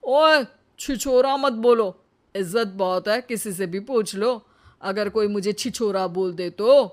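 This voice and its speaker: A woman's voice: medium pitch, takes it slowly, lightly acted. A woman voicing a cocky, teasing young husband in a cartoon, devoted underneath, with a drawling delivery.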